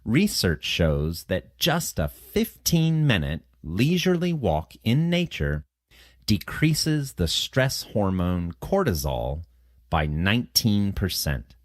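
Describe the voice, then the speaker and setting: A man with a lowish voice reads scripted passages at a very slow pace. His delivery is careful and measured, with plenty of rise and fall in the pitch. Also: very animated, no echo, clean studio sound. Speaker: narrator of test practice passages. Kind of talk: scripted read-aloud narration.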